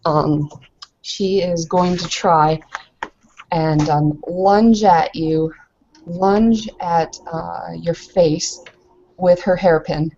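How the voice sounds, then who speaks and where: Woman, lowish voice, very slow halting delivery, informal tabletop roleplay narration, dull recording. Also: warm tone, a little hiss or hum. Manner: halting, informal, pausing to think.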